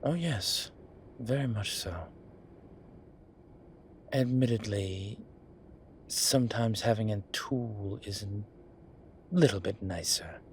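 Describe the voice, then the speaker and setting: A man playing a polite, flirtatious incubus demon in an intimate roleplay audio drama, with hesitant, formal phrasing and a softness that turns breathy at times. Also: fairly low pitch, slow, long pauses, slight background noise. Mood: polite, playful. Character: courteous, flirtatious male incubus demon, restrained and apologetic.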